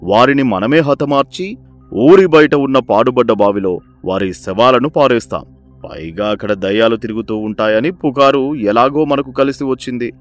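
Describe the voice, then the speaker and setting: A man voicing a greedy, scheming village-head father who commands his son in a sly, domineering way, for an animated story. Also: mid-range voice, normal speed, faint music behind, close to an everyday voice.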